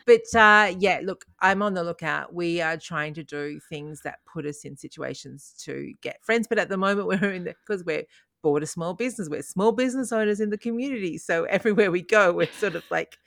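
Low-voiced woman, rambling chat. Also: brisk, creaky, fairly bright tone, dry recording, studio quality. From Australia. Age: middle-aged. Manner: rambling, frequent restarts and laughs. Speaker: podcast co-host. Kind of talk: casual chat.

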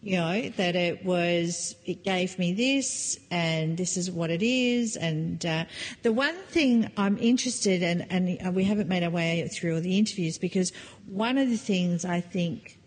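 A woman's voice: fairly low pitch, measured pace, in a large hall. Chatty woman co-host, laughing easily. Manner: animated, laughing, searching for words. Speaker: podcast co-host. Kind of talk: casual chat.

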